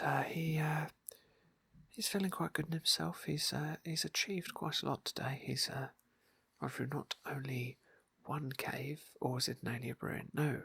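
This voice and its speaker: A man speaking in a soft, breathy hush, often near a whisper, narrating an ASMR roleplay game playthrough. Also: medium pitch, dry recording. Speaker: ASMR gaming video host and roleplayer. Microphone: close microphone, dry.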